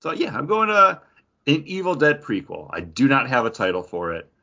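Lively podcast host with lo-fi sound. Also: male voice, mid-range voice, normal speed, gravelly. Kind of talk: casual chat with dramatic storytelling.

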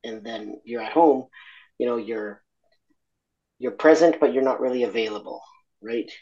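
A man from Argentina speaking candidly as an interview guest, reflective and halting, with many fillers and restarts. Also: mid-range voice, muffled recording.

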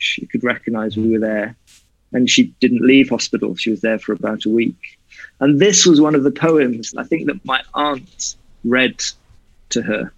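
A man from Wales with a light Welsh accent talks in an earnest, reflective way. He speaks over a video call, and the audio sounds dry and a little muffled. His voice sits at an average pitch. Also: no room sound. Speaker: guest. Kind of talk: poetry reading and conversational interview.

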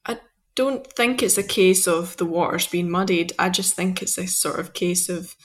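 A woman's voice: on the low side, normal speed. A woman with a Scottish accent, a poet and guest in a podcast interview, arguing her point fluently and earnestly.

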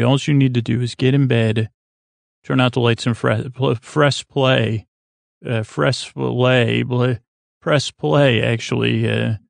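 A man with a creaky voice hosting a bedtime podcast, talking in a calm, gentle, meandering way with halting self-interruptions. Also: medium pitch, dry recording. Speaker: podcast host. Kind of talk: rambling, soothing bedtime podcast monologue.

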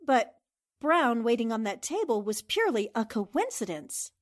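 A woman playing a sharp, persistent but polite newspaper reporter in an old-time radio drama, articulate and questioning. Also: on the high side, big pitch swings, normal speed. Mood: challenging.

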